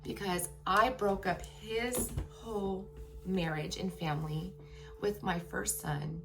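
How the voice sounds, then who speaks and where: Woman, personal storytime vlog, gravelly fry, raspy from a tiring day, frank and emphatic. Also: medium pitch, a bit bright. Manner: emphatic, frank, keeps checking in with viewers. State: voice getting raspy after a long workday. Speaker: vlogger telling a personal story. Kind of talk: candid personal storytelling to viewers.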